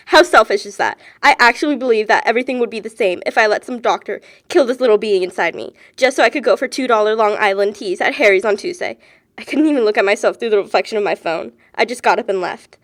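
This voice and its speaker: A woman's voice: fairly high pitch, lightly acted. An actress playing a troubled, earnest young college woman in a naturalistic film drama, with a pleading manner. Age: young adult.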